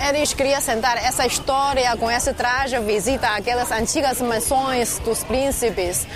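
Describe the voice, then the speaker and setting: A woman's voice: a little high, steady tone, measured pace. A woman with a Chinese accent, a local guide who explains and interprets casually, sometimes searching for words. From China. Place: outdoors on a busy tourist street. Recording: music behind the voice.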